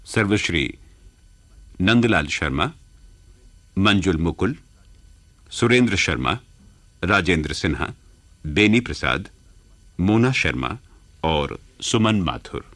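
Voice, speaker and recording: A man makes a formal scripted radio announcement. His voice is deep and close, with no room sound. He reads slowly and deliberately, in measured phrases. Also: lively intonation, a bit bright, no room sound.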